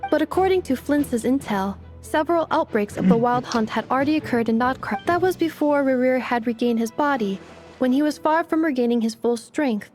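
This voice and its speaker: A high-voiced, precise robot assistant. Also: female voice, animated, fairly quick, darkish tone, faint music behind. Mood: polite, factual. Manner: precise, matter-of-fact. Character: polite, precise, analytical female robot assistant. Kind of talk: video game voice acting.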